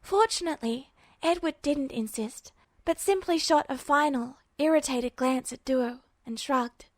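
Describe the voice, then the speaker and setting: A woman narrates fiction from a script in the manner of a home-made audiobook. Her voice is high. The recording is dry, with hardly any room sound. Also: unhurried, no echo.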